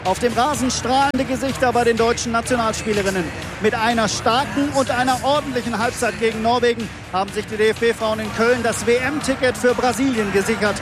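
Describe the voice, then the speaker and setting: A man's voice: very high-pitched, a little fast, music in the background, a crowd in the background. A man reading an upbeat scripted sports report.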